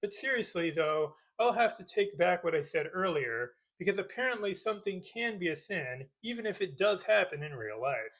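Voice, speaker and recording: Higher-voiced man giving sarcastic video commentary. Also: animated, moderate pace, few pauses, fairly bright tone, low-quality recording. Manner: sarcastic, mock-serious. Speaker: YouTube commentator parodying movie critique videos. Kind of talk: scripted comedic rebuttal commentary.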